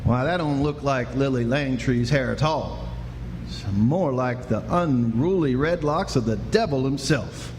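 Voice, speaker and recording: An actor playing a good-natured, bumbling frontier sheriff, folksy, hesitant and wry, in a live western radio play. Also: male voice, medium pitch, measured pace, darkish tone, lightly acted. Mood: puzzled, wry. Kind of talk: live old-time western radio drama acting. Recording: echoey hall with faint noise.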